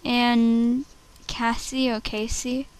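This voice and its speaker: A woman's voice: fairly high pitch, level tone, extremely slow, clear, resonant tone, poor audio. A woman introducing and reading for an amateur voice-over audition. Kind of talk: voice-over audition, short acted lines repeated in takes.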